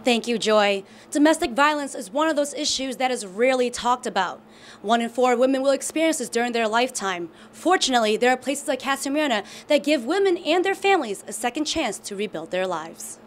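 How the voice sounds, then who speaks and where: Young woman reporter with a bright pitch. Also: expressive, brisk, slight background noise. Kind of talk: scripted news report. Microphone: handheld mic.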